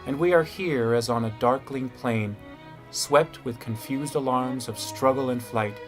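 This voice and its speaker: A troubled, earnest husband, played by a man recites melancholy verse very slowly in a mid-range voice. The solemn dramatic reading has faint music beneath it. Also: medium pitch, natural voice, low-quality recording. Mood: solemn, mournful.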